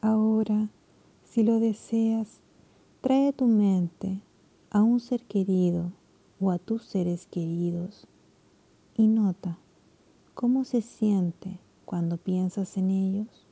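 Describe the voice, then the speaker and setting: A woman leading a guided meditation, speaking softly and soothingly, her phrases often trailing into whispers. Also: mid-range voice, takes it slowly, slightly rough.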